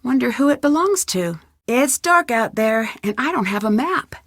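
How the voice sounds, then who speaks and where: A woman's voice: a little high, animated, normal speed, fairly bright tone, no echo, studio quality. A young woman voicing a curious, plucky amateur detective in an adventure video game, musing to herself inquisitively. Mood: matter-of-fact. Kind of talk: scripted adventure game voice acting, talking to herself.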